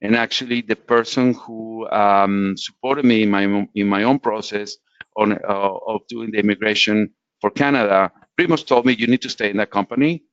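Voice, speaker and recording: A man's voice: on the low side, steady tone, normal speed, a bit bright, no room sound. Man from latin america, english speaker, earnest advice, dry muffled webinar sound. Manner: earnest, explanatory, frequent restarts. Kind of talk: career advice talk.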